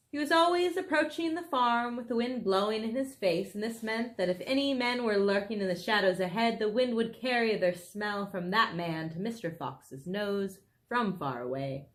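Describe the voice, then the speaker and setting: A woman reading a children's book aloud expressively, with animated, dramatic phrasing and casual asides. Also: mid-range voice. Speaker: storybook reader.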